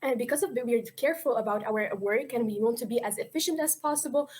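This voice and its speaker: Teenage girl presenting, fairly high voice. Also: quick pace, darkish tone, slightly rough. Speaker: youth climate activist and volunteer. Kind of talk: conference presentation.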